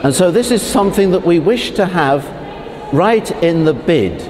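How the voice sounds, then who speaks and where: A man, a parish priest, giving a prepared statement for a video letter, speaking slowly and haltingly, with pauses and restarts. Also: high voice, expressive, in a large hall, a crowd in the background. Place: church.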